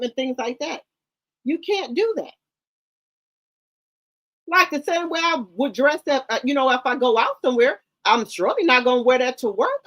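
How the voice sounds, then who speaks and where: Woman, middle-aged, high-pitched, blunt, lecturing, slangy host chat. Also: a bit bright, poor audio. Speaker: commentary host. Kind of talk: casual livestream commentary.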